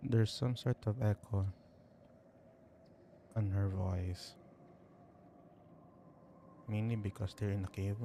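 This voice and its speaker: Low, slow-talking man commenting. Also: fairly even intonation, dark tone, a little hiss or hum. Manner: brief, offhand remarks. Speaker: streamer. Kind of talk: casual game commentary. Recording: game music playing behind.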